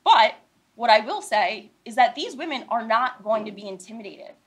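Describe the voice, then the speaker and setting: Emphatic American woman, higher-pitched voice. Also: expressive, fairly bright tone. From Philadelphia, United States. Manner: emphatic, deliberate, repeats points in short phrases. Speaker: lawyer representing clients at a news conference. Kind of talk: prepared public statement at a press conference. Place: news conference room.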